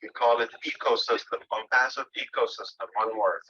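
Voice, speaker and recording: A man giving a company update over a phone call, pausing often with filler sounds as he searches for words. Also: on the low side, sharp tone, breathy edge. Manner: halting, many filler pauses. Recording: dull lo-fi phone line.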